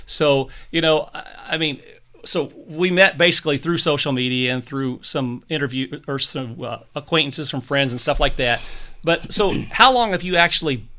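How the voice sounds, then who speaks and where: A man's voice: on the high side, moderate pace, sharp tone. A man hosting a podcast interview, enthusiastic and chatty, often restarting his sentences. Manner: enthusiastic, with frequent restarts and stumbles. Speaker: podcast host.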